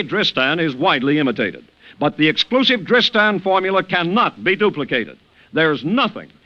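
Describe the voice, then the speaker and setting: High-pitched, animated male radio pitchman. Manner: emphatic, polished sales pitch. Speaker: commercial announcer. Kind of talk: 1950s radio advertisement read. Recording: dull vintage radio recording.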